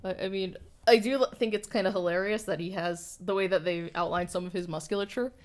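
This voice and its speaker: A young adult woman seated at a studio table, co-hosting a collectible review. She gives frank opinions in a giggly, casual way, and her voice sits at a middle pitch. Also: fairly even intonation, fairly quick. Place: indoor studio set at a table.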